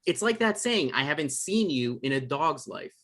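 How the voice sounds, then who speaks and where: Male, comedy script read: actor as naive, defensive novelist, highly animated pitch. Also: normal speed.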